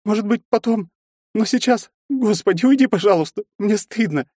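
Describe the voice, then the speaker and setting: Casting: male reader doing a young woman, clipped and cold, near tears; high voice, dry close sound. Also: big pitch swings, fairly quick, warm tone, husky, no echo. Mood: tearful. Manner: clipped, then sobbing pleas. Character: proud young woman, distraught and ashamed.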